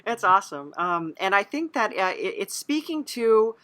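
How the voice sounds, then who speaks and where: A woman's voice: mid-range voice, very animated, normal speed, sharp tone. A woman interviewer talking casually, encouraging and affirming her guest.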